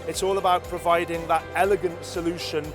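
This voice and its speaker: A man explaining a product conversationally as an interviewee, measured and often hesitating with ums and filler phrases. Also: on the high side, little pitch movement, music in the background.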